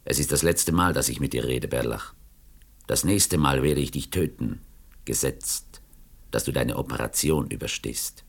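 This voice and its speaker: An actor playing a coolly arrogant, menacing criminal mastermind in a German radio play, with a taunting, deliberate delivery. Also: male voice, very deep voice, gravelly, husky, exaggerated voice. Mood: threatening.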